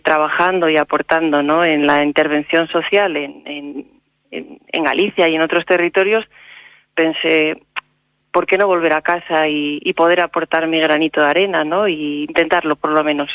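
A woman's voice: low voice, steady tone, moderate pace, fairly bright tone, no echo, lo-fi sound. A woman from Salamanca, a social worker, answering interview questions hesitantly with restarts and tag questions. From Salamanca, Spain. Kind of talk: radio interview.

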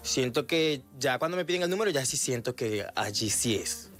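A man's voice: mid-range voice, expressive, normal speed, a bit bright, soft background music. A man, a radio guest who answers hesitantly with many fillers. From Venezuela and Colombia. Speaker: guest, model and content creator. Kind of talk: radio interview.

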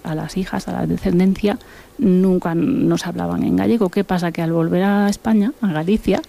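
Low gravelly woman, mellow and thoughtful. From Argentina (Buenos Aires). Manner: thoughtful, circles back with repeated words and hums. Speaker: musician and author guest. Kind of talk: relaxed interview conversation.

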